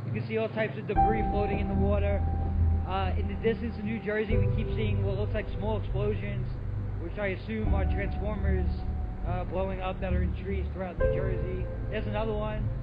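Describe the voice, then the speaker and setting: A man's voice: sits high, level tone, fairly quick, phone-quality sound. A man reporting from a docked boat during a storm, urgent and shaking from the cold, filmed on a handheld phone outdoors. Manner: urgent, shouting over wind. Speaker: vlogger filming from a docked boat. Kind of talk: on-the-spot storm reporting to camera. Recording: loud music over the voice. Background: wind and a song playing.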